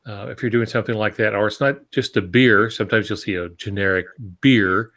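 A man's voice: on the low side, fairly quick, fairly bright tone. An American man in his sixties chatting casually as a podcast co-host on a video call. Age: 60s. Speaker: podcast co-host, fiction writer. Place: home office, on a video call.

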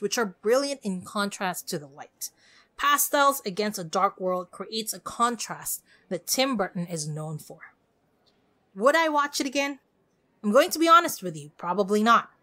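Expressive woman podcaster, very lively intonation. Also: medium pitch. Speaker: podcast host. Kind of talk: scripted solo podcast review.

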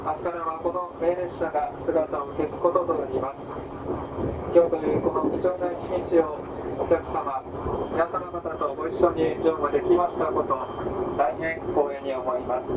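A man's voice: fairly high pitch, fairly even intonation, moderate pace. A man working as a train conductor, making polite, formal onboard announcements. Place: inside a moving train carriage, over speakers. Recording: noisy distant train PA, poor audio. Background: loud train and ventilation noise.

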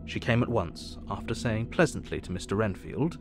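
An actor playing an earnest asylum doctor narrating his diary in measured, formal English. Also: lowish voice, expressive, music in the background. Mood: astonished, reflective.

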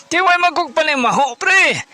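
A man's voice: extremely high pitch, animated, normal speed, very noisy. A man voicing a whiny, complaining male pal in a comic audio drama. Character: whiny, complaining male buddy, bothered by stink.

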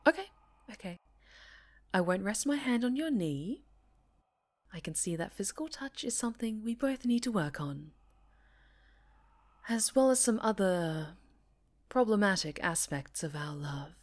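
Woman playing possessive yandere supervillainess, ASMR roleplay, slow breathy delivery, whispers, menacing tenderness, echoey sound. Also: mid-range voice, lively intonation, close to an everyday voice. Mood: mocking, controlling. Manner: breathy, theatrical, often sinking into whispers.

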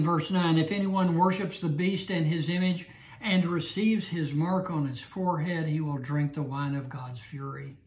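An older man teaching a Bible study class, slow and emphatic, repeating his key phrases. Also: on the high side, a little mellow, roomy sound, rough recording. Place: small room indoors. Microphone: lapel mic.

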